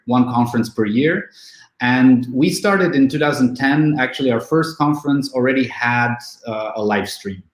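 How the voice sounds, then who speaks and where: Halting man in an echoey room. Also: mid-range voice. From Romania. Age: 30s. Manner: halting, many fillers and restarts. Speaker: event organizer. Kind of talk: casual online interview.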